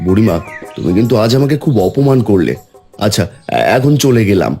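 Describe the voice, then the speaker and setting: A man's voice: on the low side, big pitch swings, a little fast, creaky, warm tone, faint music behind. A man voicing a poor young village husband in a cartoon, defending himself in an aggrieved way.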